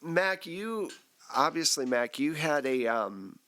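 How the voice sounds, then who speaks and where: A man hosting a casual debrief conversation, asking questions in a halting way and rephrasing as he goes. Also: a little high, lively intonation, pushed and bright. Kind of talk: casual interview chat.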